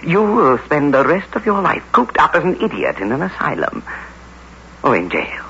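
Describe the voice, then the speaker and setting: A dull, hissy old radio recording carries a sinister coven-leading husband. He is commanding and coldly taunting, with a bright, forceful edge and deliberate, widely swinging delivery. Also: a little high, wildly swooping pitch, creaky, exaggerated voice. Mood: threatening. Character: menacing husband, master of a witches' coven. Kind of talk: old radio drama acting.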